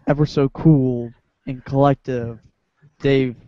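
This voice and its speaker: A man's voice: mid-range voice, steady tone, slow, no echo, lo-fi sound. A man, an amateur cast member, casually introducing his part.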